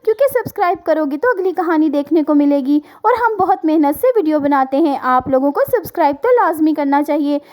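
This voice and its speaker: A woman's voice: sits high, lively intonation, a little fast, hardly any pauses, a little mellow. A woman narrating a story for children as a storyteller.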